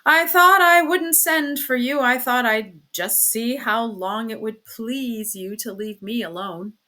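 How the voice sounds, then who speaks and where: A woman's voice: medium pitch, a little slow, pushed and bright. A woman voicing a self-pitying, dissolute husband in an audiobook, theatrically aggrieved, sarcastic and languid.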